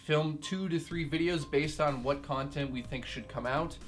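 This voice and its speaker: A young man with a hoarse, worn voice that keeps cutting out, talking a little higher than most men. He chats bluntly and jokes through a casual update, sitting in a small room with faint music behind him. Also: moderate pace. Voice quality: postnasal cough. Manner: joking, blunt. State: hoarse, losing his voice. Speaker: co-host. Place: small room with game posters.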